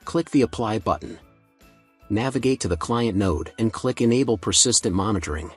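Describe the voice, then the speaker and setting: Low, slightly husky male tutorial narrator. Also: normal speed, faint music behind. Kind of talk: scripted instructional narration.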